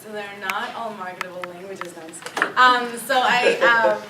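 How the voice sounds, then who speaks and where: A woman's voice: mid-range voice, normal speed. A woman from Pakistan speaking as a panelist in casual conversation, chatty and laughing often. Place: conference hall. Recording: echoey hall from far off, noisy.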